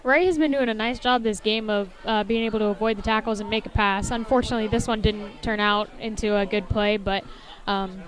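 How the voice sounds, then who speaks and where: Lady doing color commentary at a football game, mid pitched, noisy background. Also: steady tone, fairly quick, hardly any pauses. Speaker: sports co-commentator. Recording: clear background noise at outdoor game.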